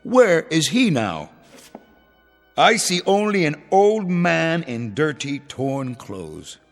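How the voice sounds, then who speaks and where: An actor playing a vengeful, proud revolutionary leader in a radio drama, theatrical, deliberate and menacing. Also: male voice, on the high side, sing-song, full character voice. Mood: gloating menace. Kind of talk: old-time radio drama acting. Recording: long hall reverb, music underneath. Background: dramatic music.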